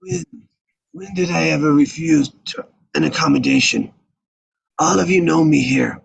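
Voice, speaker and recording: Man reading as a gravelly old mafia don. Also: a little high, moderate pace, exaggerated voice, rough recording. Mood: firm, reasoning. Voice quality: gravelly, raspy. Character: aging, dignified mafia don pleading reason. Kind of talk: live table read of a film script.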